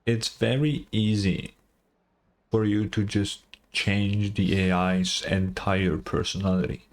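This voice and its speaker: A man's voice: on the low side, fairly even intonation, slow. A man giving casual, rambling, informal game reaction commentary with some swearing. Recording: game music faintly behind the voice. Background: game music and dialogue.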